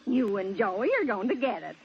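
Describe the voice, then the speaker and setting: An actress playing a middle-aged criminal mother who coaxes and schemes calmly, in 1950s radio drama style. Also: medium pitch, normal speed, natural voice. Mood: scheming, proud. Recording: muffled, noisy old radio broadcast.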